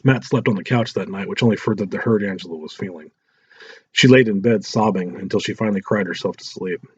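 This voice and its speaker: A man reads a story aloud as narrator. His voice is fairly low and husky. The home recording is dull and lacks crispness. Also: fairly quick, darkish tone, no echo. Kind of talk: scripted audiobook narration.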